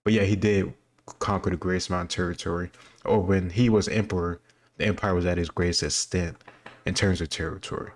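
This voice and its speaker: Man, deep pitch, little melodic variation, casual commentary, rambling explanatory asides. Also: fairly even intonation, brisk. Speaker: host answering quiz questions.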